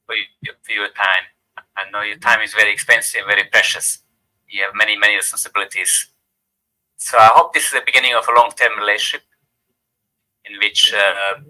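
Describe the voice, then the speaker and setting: Older Croatian-accented man, low voice, very bright tone, halting thank-you speech. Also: low-quality recording. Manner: halting, repeats words, warm and gracious. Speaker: speaker giving vote of thanks. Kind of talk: formal closing remarks at an event.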